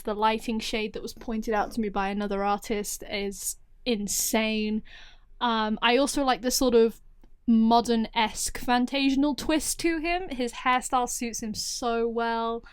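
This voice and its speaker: A woman with a smooth, fairly high voice gives informal guest commentary. She is effusive and leans emphatically on her points. The sound is dry and close. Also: no echo. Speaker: guest commentator, audio series creator. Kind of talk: informal spoken appraisal.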